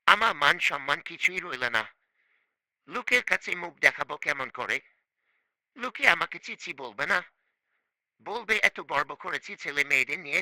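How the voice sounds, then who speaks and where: Man voicing proud middle-aged cartoon father, very sharp forceful voice, animated pitch, reproachful, emphatic. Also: dry recording, full character voice. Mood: angry, reproachful. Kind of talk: cartoon voice acting.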